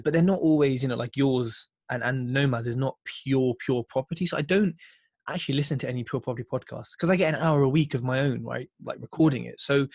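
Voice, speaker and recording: A man's voice: medium pitch, a little mellow, dry recording, clean studio sound. A British man talking casually as a podcast guest, in a chatty, emphatic way. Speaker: podcast host interviewed as guest. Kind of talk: casual conversational interview.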